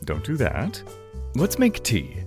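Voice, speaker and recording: A man playing a calm, polite, gently persuasive mind-influencer with deliberate, suggestive phrasing in an audio drama. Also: medium pitch. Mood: coaxing. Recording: roomy echo, faint music behind.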